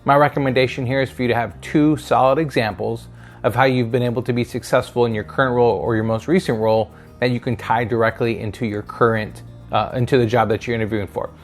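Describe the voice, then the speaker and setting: A man's voice: medium pitch, fairly even intonation, quick pace, faint music behind. A middle-aged man presenting instructional advice straight to viewers, explaining step by step and checking in with short tag questions. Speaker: career advice video host, sales hiring manager.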